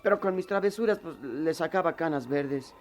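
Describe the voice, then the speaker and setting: An actor playing a mischievous, good-hearted skinny young pal in a radio drama, colloquial and frank, with a Mexican accent. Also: male voice, fairly high pitch, lively intonation, normal speed, lightly acted. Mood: playful.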